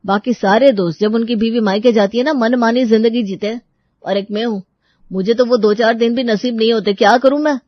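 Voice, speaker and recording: A woman's voice: a little high, fairly even intonation, a little fast, no echo, dull audio, natural voice. A woman voicing a fed-up, sarcastic young married son who complains, in an acted audio story. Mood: fed up, whining.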